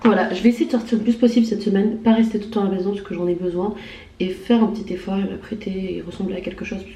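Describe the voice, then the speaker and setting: A woman's voice: mid-range voice, steady tone, rapid-fire, warm tone. Chatty young woman vlogging. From southern France. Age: late 20s. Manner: chatty, self-mocking, many fillers. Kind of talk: casual vlog chat. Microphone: handheld camera.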